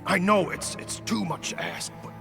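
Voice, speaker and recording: Anxious weary settler, game drama, halting folksy speech, long reverb, music behind. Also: male voice, high-pitched, huge pitch swings, vocal fry, heavily acted. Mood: desperate pleading. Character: weary, grateful male refugee settler, earnest and anxious. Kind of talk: dramatic video game voice acting.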